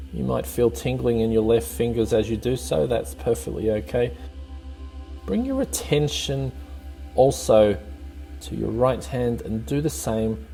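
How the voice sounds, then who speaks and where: A man leading a guided meditation, giving calm, gently encouraging, unhurried instructions in a soothing way. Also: mid-range voice, vocal fry.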